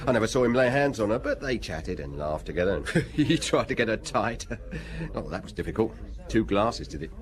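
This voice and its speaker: A man's voice: on the low side, big pitch swings, brisk, a crowd in the background. An actor playing an easygoing, chatty barman in a radio drama, informal and obliging. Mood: gossipy.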